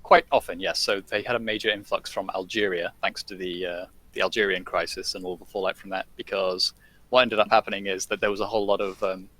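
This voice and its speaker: Bright-voiced man, hesitant guest talk. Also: low voice, fairly even intonation, fast, rough recording. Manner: hesitant, many fillers and restarts. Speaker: guest commentator. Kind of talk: casual podcast discussion.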